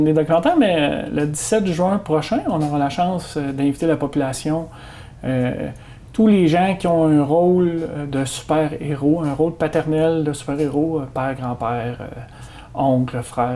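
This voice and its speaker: A man with a Québécois French accent, a community guest chatting casually in an interview, with many hesitations. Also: a little high. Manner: many hesitations, animated when announcing the event.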